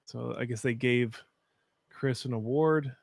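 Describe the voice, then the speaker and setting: A young man with a deep, gravelly voice hosts a live watch party, talking loosely and sharing opinions. His speech is full of fillers. The sound is close and dry, like a desk mic in a small room at home. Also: a little slow, no echo. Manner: chatty, opinionated, frequent fillers. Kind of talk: casual live-stream commentary.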